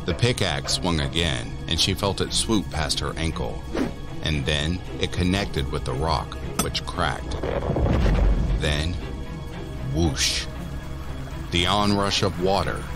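A man narrating a scripted horror story in a deliberate, measured manner. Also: rumbling low.

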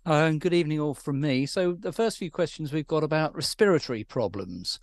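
A man's voice: on the high side, lively intonation, brisk, dry recording, clean studio sound. A British man in his sixties reading out questions politely and a little hesitantly, on a headset in a video call. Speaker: question reader. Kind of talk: moderating an online Q&A.

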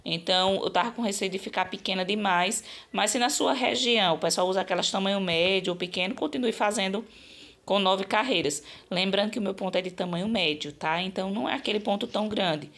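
A slightly hoarse woman with a Brazilian accent, explaining her craft work in a chatty, casual vlog style. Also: medium pitch, fairly quick, a bit bright. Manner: chatty, explanatory, frequent check-in tags. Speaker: crochet channel host.

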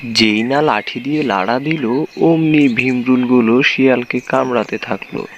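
A man's voice: medium pitch, normal speed, muffled recording, slight background noise. A man narrating a scripted children's folk tale.

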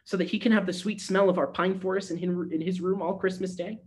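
Eager little girl voice, high and bouncy, all hopeful and pleading, guy putting it on. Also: fast talker, close to an everyday voice. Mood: bright hopeful. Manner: bright, excited, breathless pleading.

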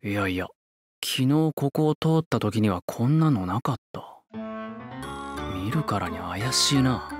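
A man's voice: medium pitch, expressive, measured pace, over music, close to an everyday voice. An actor playing a bored, laid-back young man who mutters and grumbles in blunt, casual speech in an anime drama. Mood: puzzled, muttering.

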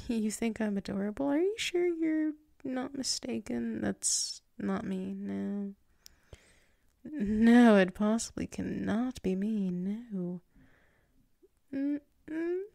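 A woman playing a flustered, submissive tsundere werewolf in an intimate ASMR roleplay, halting and murmuring, often slipping into whispers close to the mic. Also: medium pitch, gravelly, warm tone, no echo, full character voice. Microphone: close microphone.

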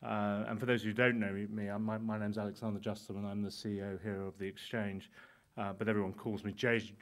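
A man's voice: lowish voice, level tone. A man in his forties welcoming a conference audience from a lectern, stumbling often over his words and restarting. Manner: halting, many stammered restarts. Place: conference hall, indoors. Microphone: lectern mic.

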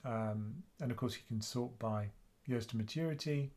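A man in his fifties with a British accent, hosting a live investing Q&A stream from a small home room, chatting casually in a quiet voice. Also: medium pitch, normal speed. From United Kingdom. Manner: casual, chatty, soft-spoken. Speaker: investing channel host.

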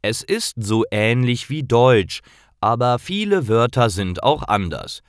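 A man's voice: mid-range voice, big pitch swings, slow pace, no room sound, clean studio sound. A man voicing a welcoming, helpful young man in a storybook dialogue for language learners. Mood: explaining.

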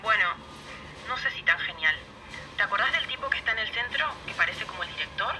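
An actress with a Rioplatense accent playing a businesslike, cautious woman researcher, speaking in a hurried way over a phone call in an audio drama. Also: mid-range voice, animated. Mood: hurried, confiding. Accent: Rioplatense Spanish. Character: Cristina, brisk, cautious woman researcher friend. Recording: phone call with clear ambient noise.

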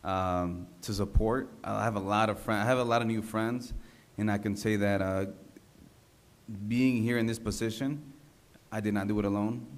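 A man in his thirties, a city council member, giving an informal, halting speech of thanks at a public meeting. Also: lowish voice. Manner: halting, unpolished, repeats himself. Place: large council chamber, indoors. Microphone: gooseneck desk microphone.

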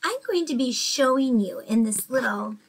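A woman in her forties with a fairly high voice, teaching a math lesson to small children. She speaks gently and encouragingly, checking in with the kids through questions. She is recorded from her bedroom on a laptop webcam. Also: expressive, normal speed, breathy edge. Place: bedroom at home, indoors.

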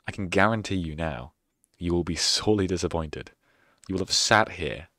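A man's voice: sits low, lively intonation, normal speed, bright, forceful tone, no room sound. A young man streamer closing out his stream with casual, rambling, self-mocking sarcastic chatter into a close microphone. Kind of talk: casual end-of-stream chat.

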